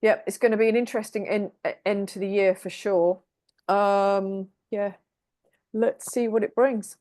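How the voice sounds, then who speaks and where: A woman's voice: medium pitch, fairly even intonation, normal speed, darkish tone, dry recording. A woman on a tarot panel over a video call, chatty and reassuring, often repeating phrases as she talks. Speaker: tarot reader co-host. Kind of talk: casual spiritual panel chat.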